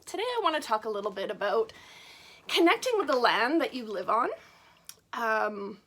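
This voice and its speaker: A woman's voice: on the high side, sing-song, normal speed, a bit bright. A woman in her forties hosting her channel, chatting casually and rambling with many fillers. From Canada. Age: 40s. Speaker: witchcraft channel host. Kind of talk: casual chat to camera.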